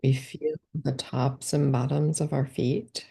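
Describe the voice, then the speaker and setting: Low, gravelly woman guiding a meditation. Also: slow pace. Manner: calm, gentle step-by-step instructions. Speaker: meditation guide. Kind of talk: guided body scan meditation.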